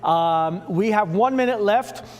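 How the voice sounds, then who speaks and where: A man with a Swedish accent working as an auctioneer, calling bids in a rapid, repetitive chant. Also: high-pitched. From Sweden. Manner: repetitive rhythmic bid chant.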